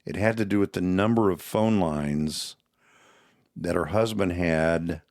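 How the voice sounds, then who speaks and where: Deep-voiced folksy man. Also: unhurried, a little mellow, no room sound, clean studio sound. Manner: folksy storyteller, frequent murmured agreement. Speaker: co-host. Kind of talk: casual podcast chat.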